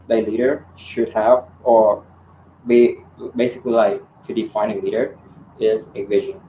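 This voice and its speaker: A man's voice: medium pitch, warm tone, a little hiss or hum. A man answering candidate questions at a student election forum, hesitant and full of ums and restarts. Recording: echoey room, dull lo-fi sound.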